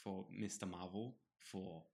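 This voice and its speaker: A man's voice: deep voice, steady tone, creaky, darkish tone. A man in his twenties co-hosting a podcast, teasing and bantering in casual chat. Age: 20s. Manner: playful, teasing, quick to banter. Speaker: podcast co-host.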